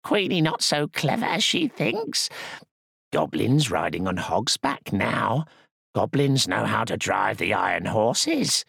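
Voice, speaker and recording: A man voicing a cheeky, stubborn little male goblin who talks in broken grammar with a slow, gravelly drawl. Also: on the low side, wildly swooping pitch, fairly bright tone, no echo, clean studio sound, pitched above the performer's own voice, heavily acted. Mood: sly.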